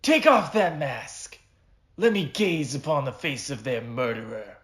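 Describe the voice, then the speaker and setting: A man's voice: medium pitch, animated, measured pace, fairly bright tone, pitched above the performer's own voice. A man playing a vengeful, scarred cowboy hunting an assassin in a short story, with melodramatic and emphatic delivery. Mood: enraged.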